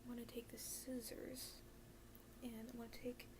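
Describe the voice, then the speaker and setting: A woman whispering in a breathy, hushed, halting way, doing an ASMR roleplay as a gloomy, deadpan emo best friend. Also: fairly high pitch, background noise. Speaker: ASMR video creator.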